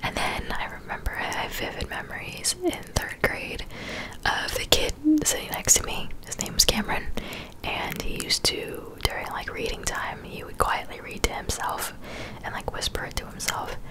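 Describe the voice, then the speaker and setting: A woman in her twenties speaking in a soft, breathy whisper, chatting casually and unhurriedly as an ASMR creator answering viewers. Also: normal speed. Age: 20s.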